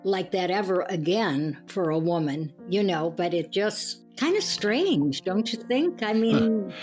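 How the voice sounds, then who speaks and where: An older woman with a medium-pitched voice reminisces in conversation. Her delivery is very slow, halting and reflective, set over music. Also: expressive, a little mellow. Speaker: discussion participant. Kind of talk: personal reminiscence.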